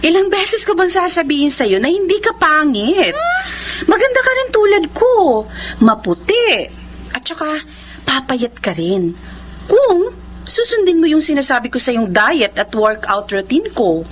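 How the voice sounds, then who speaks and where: A woman's voice: sits high, very animated, unhurried, slight background noise. A woman acting a caring, confident young elder sister in a radio drama, reassuring and earnest. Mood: encouraging.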